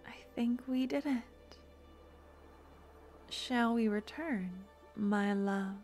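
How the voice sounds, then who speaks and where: A woman doing a gentle necromancer girlfriend, soft whisper, unhurried, ASMR roleplay. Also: on the high side, soft background music. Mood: tender. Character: tender young woman necromancer, loving girlfriend.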